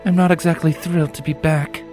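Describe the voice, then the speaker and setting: A woman playing a wary ex-soldier pony, speaking in a formal, commanding, measured way in a dramatic story reading. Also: low-pitched, steady tone, mellow, faint music behind, lightly acted. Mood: grim. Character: stern, wary female pony, ex-soldier fugitive. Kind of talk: dramatic audiobook acting.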